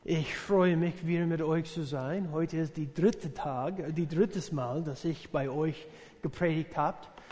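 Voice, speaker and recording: High-voiced American man preaching haltingly. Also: a little mellow. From USA. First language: English. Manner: halting, searching for words, many fillers, jokes with congregation. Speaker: visiting missionary preacher. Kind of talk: sermon. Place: church service hall. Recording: reverberant church hall.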